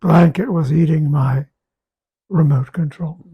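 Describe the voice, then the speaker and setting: Slow, hoarse man with a raspy voice. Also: a little high, muffled recording. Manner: halting, repeats words, slow and deliberate. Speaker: guest sharing a health update. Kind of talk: casual conversation.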